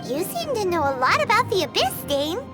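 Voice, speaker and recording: A woman's voice: very high-pitched, sing-song, fairly quick. A woman voicing a tiny, chirpy floating girl companion who talks in an eager, chatty way, in a video game. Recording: game audio with loud music. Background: loud game music.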